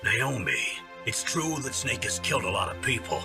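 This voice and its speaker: An actor playing a loyal, earnest older colonel in a video game, sincere and measured. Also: male voice, medium pitch, very animated, gravelly. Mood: grateful, sincere. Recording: distant voice under loud music.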